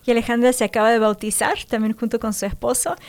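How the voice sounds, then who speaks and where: A woman's voice: on the high side, level tone, fast talker. Female radio host, faith testimony interview, encouraging, prompts guest with short affirmations.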